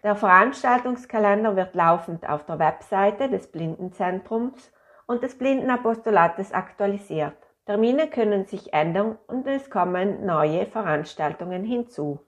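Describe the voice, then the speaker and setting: A woman reading a calendar of events aloud in a slow, measured way. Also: medium pitch, expressive. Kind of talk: scripted reading of announcements.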